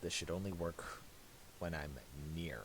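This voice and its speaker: A man giving a casual, unscripted software tutorial, muttering and thinking aloud in a halting way. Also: low voice, steady tone. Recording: hissy home recording with mouse clicks.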